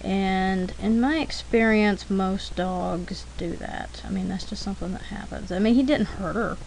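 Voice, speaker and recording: Female art demo narrator, unhurried, chatty and self-deprecating, mid-range voice, some background noise. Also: mid-range voice, gravelly, darkish tone, rough recording. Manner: chatty, self-deprecating, pausing to think aloud. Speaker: artist narrating own drawing demo. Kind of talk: casual rambling art demo commentary.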